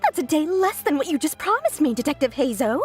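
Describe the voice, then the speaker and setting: Game swindler: coy, wheedling and theatrical, very animated high voice, music underneath. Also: female voice, fairly quick. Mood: coy, protesting. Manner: coy, dramatically self-pitying. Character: sly, flirty convicted swindler woman, wheedling and theatrical. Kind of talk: video game voice acting.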